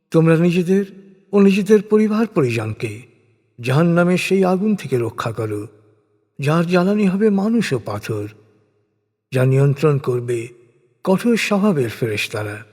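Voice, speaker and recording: A man's fairly high voice carries through a long reverb. He narrates scripture in translation with solemn formality. His phrasing is measured, each phrase settling downward at its end. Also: darkish tone.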